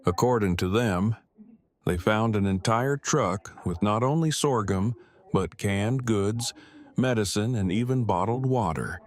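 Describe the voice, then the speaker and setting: A man playing a low-voiced, formal, bookish guy. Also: unhurried, natural voice. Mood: matter-of-fact, formal. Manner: stilted, verbose, formal phrasing. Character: awkward, earnest, bookish man with a soft spot. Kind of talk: fan audio drama acting.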